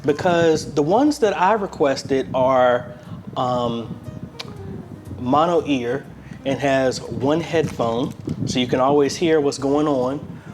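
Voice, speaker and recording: An American man presents a product review in a casual, conversational manner. His voice sits at a middle pitch, and he thinks aloud as he goes. Music plays behind his talk. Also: slow pace. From United States. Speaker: video host and church media ministry member. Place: apartment room, indoors.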